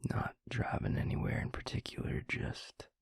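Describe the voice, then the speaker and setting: A man playing a weary, grieving vampire lover, tender and gruff, in an intimate audio roleplay with a husky, gravelly murmur. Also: dry recording, whispered, studio quality, close to an everyday voice. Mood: weary, brooding. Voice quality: husky, breathy, gravelly low voice. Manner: slow, halting, murmured, with sighs.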